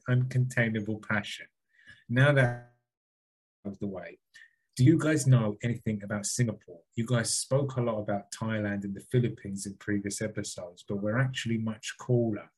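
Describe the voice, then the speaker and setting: A man's voice: fairly low pitch, darkish tone, husky, rough recording. A man co-hosting a podcast, reading out a listener email and then rambling, hedging and swearing freely in casual chat. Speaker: podcast co-host.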